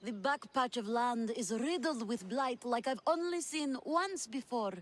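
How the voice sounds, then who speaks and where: A sickly, weary servant woman voiced by an actress in a game. Her voice sits a little high as she talks like someone worn down by farm life. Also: measured pace, natural voice. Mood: weary, troubled. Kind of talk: video game cutscene acting.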